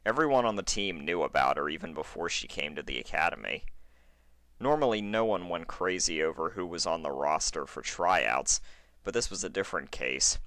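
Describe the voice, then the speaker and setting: A man's voice: low-pitched, lively intonation, moderate pace, a bit bright, no echo. A man who reads fan fiction aloud, chatting to listeners and narrating stories expressively. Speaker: amateur fan fiction reader. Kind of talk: chatty intro, then expressive story narration.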